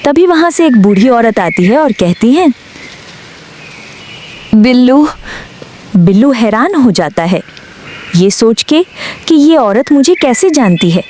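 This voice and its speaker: A woman's voice: a little high, big pitch swings, unhurried, dark tone, a little hiss or hum. A woman storyteller reading a scripted moral tale as narrator.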